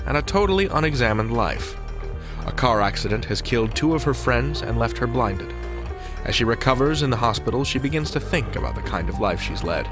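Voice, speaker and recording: A man hosting a drama podcast, introducing the episode in a wry, scripted way. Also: mid-range voice. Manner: wry, playful narration. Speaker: podcast host. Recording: studio voice over music bed.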